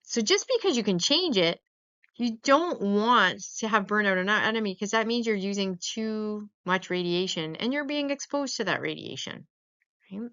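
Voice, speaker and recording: A slightly muffled, lo-fi recording carries a woman giving an informal lecture. Her pitch sits in the middle range for a woman. She explains things conversationally, often checking in with short questions to her students. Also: expressive, normal speed, a bit bright, clear, resonant tone. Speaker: lecturer teaching veterinary radiography. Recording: dull, lo-fi voice-over recording.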